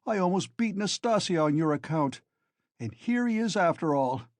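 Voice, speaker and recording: A man's voice: high-pitched, lively intonation, moderate pace, dry recording, studio quality, pitched above the performer's own voice. A man doing a blustering hot-tempered friend. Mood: furious scolding. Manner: shouting, scolding.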